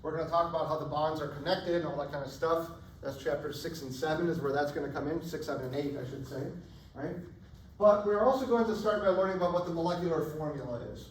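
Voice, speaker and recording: A man teaching a college chemistry class, casual and chatty, often checking in with "right?", his voice going hoarse. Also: a little high, quick pace. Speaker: chemistry instructor. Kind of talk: classroom lecture. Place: lecture hall. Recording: echoey lecture hall, distant mic, faint room noise.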